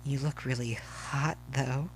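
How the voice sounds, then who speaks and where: A man's voice: mid-range voice, fairly even intonation, slow pace, a little hiss or hum, poor audio. A man voicing a clingy, pushy male pony suitor in a story, drawing his words out suggestively. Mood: wheedling, suggestive.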